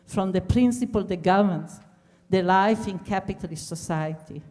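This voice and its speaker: A woman closing a lecture, earnest and measured, pausing on fillers. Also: mid-range voice, mellow. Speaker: speaker at a talk. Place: large lecture hall. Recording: hall reverb from a distance.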